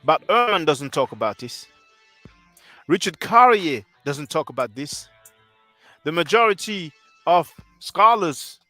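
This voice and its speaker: Bright-voiced French-native man lecturing on stream. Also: fairly high pitch, no room sound. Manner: earnest, insistent lecturing. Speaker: livestream host. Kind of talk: livestream commentary answering chat. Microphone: headset mic.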